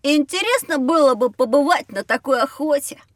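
A woman playing a curious young boy in a children's educational audio drama, with wondering, expressive storytelling. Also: high voice, moderate pace, no room sound, clean studio sound. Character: curious young boy on a time-travel adventure.

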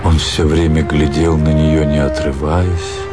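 A man's voice: rumbling low, level tone, measured pace. A man narrating a literary story dramatically as part of a radio play. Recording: music bed under the voice.

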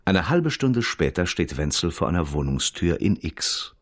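A man's voice: sits low, very animated, normal speed, no room sound, studio quality. A man narrating a radio crime drama as a sober report, in a formal, precise manner. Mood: sober, factual.

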